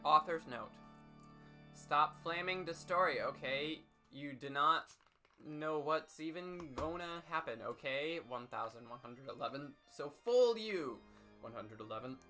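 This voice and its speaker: A man with a bright, forceful voice reads fan fiction aloud as comedy. His delivery is theatrical and heavily emphatic, over music in the background. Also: a little high, lively intonation, a little slow. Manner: theatrical, emphatic, with sudden shouts. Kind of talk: over-dramatic comedic reading.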